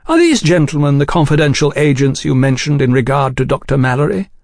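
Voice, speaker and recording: A man voicing an urbane English gentleman journalist, mild, cordial and effusively polite. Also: fairly high pitch, expressive, a little fast, no room sound, clean studio sound, voice pushed up. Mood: mild, probing.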